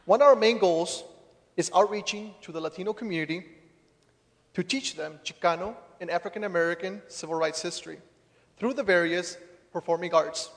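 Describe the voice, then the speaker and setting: A young man with a high-pitched voice delivers a prepared speech. Long reverb from a large hall surrounds his words as he addresses the audience as a student leader. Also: brisk, fairly bright tone. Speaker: student group president.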